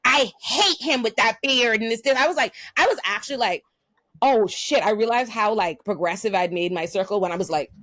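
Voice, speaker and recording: A woman's voice: fairly high pitch, dry recording. A woman from the Bahamas, hosting a casual panel discussion and telling stories with lots of fillers. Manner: fast, animated storytelling with many fillers. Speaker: podcast host.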